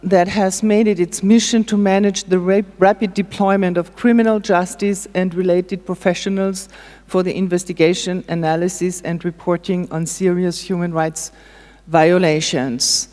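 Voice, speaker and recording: A woman's voice: on the low side, level tone, moderate pace, warm tone. A woman moderating a conference panel, introducing speakers with frequent hesitations and filler sounds. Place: conference hall. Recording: reverberant conference hall.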